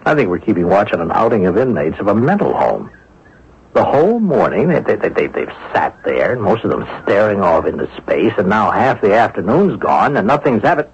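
An actor playing a gruff, skeptical, excitable police inspector in a 1970s radio drama. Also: male voice, lowish voice, brisk, exaggerated voice. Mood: impatient, excited. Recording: dull 1970s radio broadcast recording.

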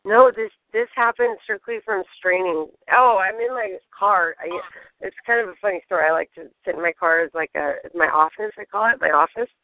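A hoarse woman who is losing her voice, chatting in a rambling, easygoing way from inside her parked car. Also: medium pitch, fairly quick, dry recording. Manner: chatty, rambling, laughs easily. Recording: dry narrow phone line.

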